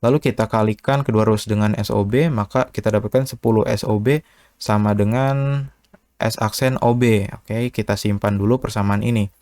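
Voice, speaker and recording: A methodical male tutor with a fairly low voice, explaining one step at a time. His voice-over sounds close and dry, with no room echo. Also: fairly even intonation, normal speed, darkish tone. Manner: patient, methodical, with filler "eh" and "ya". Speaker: online tutor. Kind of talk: step-by-step physics problem walkthrough.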